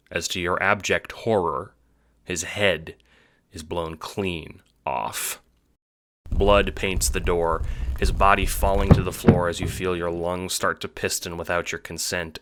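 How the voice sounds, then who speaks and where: A man giving a scripted, dramatic narration for an audio drama, with deliberate phrasing. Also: low voice, pushed and bright, slight background noise.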